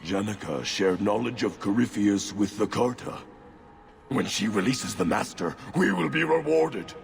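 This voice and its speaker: A man playing a menacing, fanatical dwarf cultist in a video game, declaiming fervently in a gravelly voice. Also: on the low side, animated, unhurried. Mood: fanatical defiance. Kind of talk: dramatic video game acting. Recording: reverberant hall with ambient noise.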